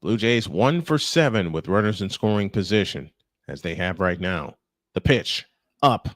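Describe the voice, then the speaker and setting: A man's voice: low-pitched, animated, moderate pace, dry recording, studio quality. A man calling live baseball play-by-play in punchy, clipped phrases, mixed with casual chat. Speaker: play-by-play announcer and host.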